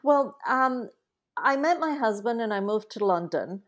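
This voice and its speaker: A woman's voice: fairly high pitch, lively intonation, a little slow. Hesitant woman, guest on a talk show. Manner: hesitant, many fillers and restarts. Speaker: guest, translation company founder. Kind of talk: conversational interview answers.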